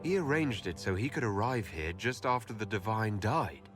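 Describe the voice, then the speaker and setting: Wary low-voiced hero played by a man, animated. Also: moderate pace, music in the background, natural voice. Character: questioning, wary male elf leader and hero. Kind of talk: video game dialogue acting.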